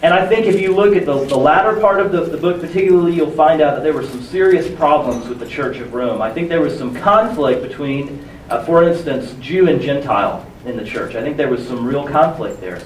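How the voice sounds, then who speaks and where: A hoarse young man preaching a sermon in a conversational, self-deprecating way, with many restarts. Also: on the high side, a little fast, slight background noise. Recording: echoey room from far off with faint ambience.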